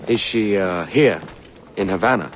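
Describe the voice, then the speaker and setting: An actor playing a roguish, glib sailor in a video game, falsely polite with a casual, slightly hesitant wryness. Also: male voice, fairly low pitch, measured pace, slight background noise, close to an everyday voice. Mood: wry, probing. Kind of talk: video game cutscene acting.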